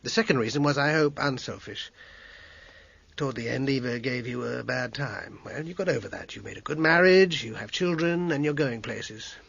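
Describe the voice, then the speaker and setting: An actor playing a struggling, articulate British composer in a radio drama, wordy and hesitant, turning agitated under pressure. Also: male voice, mid-range voice, moderate pace, creaky, fairly bright tone, breathy edge, lo-fi sound. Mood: earnest, insistent. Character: struggling hack composer, defensive, articulate, evasive brother.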